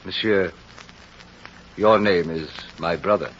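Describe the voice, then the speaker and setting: An actor in an old radio drama playing a kindly, devout priest with a gentle, measured, dignified manner. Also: male voice, sits low. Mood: gentle, serene. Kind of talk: 1930s-40s radio drama acting. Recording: dull, noisy old radio recording.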